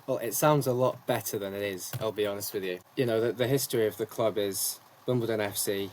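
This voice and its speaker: A young man who commentates on sport answers podcast questions fluently and at length, filling pauses with ums. His voice sits fairly low. A faint background hiss hangs under his speech. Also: a little mellow. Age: young adult. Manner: articulate, talkative, with frequent ums. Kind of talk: casual interview answers.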